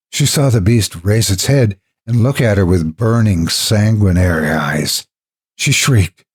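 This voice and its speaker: A man narrating scripted horror with deliberate, theatrical phrasing, as a storyteller and host. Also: lowish voice, a little mellow, breathy edge. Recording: music behind the voice. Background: background music.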